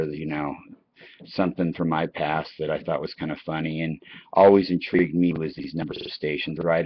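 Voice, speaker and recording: Very deep-voiced American man, rambling casually. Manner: chatty, anecdotal, repeats and circles back. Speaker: online channel host. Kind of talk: casual rambling reminiscence to listeners. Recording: dull, lo-fi, dry recording.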